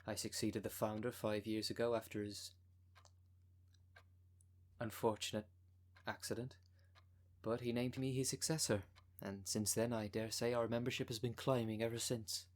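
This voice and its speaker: A slick female cult minister acted out by a man in an ASMR audio drama, pitched on the low side. The delivery is polished and salesmanlike, that of an unctuous con artist selling devotion to a demon. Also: fairly even intonation, moderate pace, lightly acted. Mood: self-satisfied.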